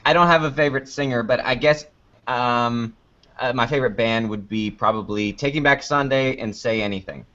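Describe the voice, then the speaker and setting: A man's voice: medium pitch, moderate pace, bright, forceful tone, muffled recording. A man chatting casually and jokingly as a guest being interviewed, with a playful way of talking. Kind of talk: casual chat.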